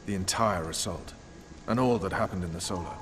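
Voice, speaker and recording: A man playing a stern special forces commander in a fantasy video game, formal and commanding, with measured threats. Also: sits low, close to an everyday voice. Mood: cold, menacing. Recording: game dialogue over noisy ambience.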